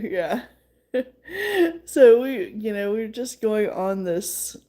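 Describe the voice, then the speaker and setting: A woman with a Southern accent, casually chatting with her viewers on a livestream in a rambling way, full of fillers. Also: mid-range voice. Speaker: livestream host.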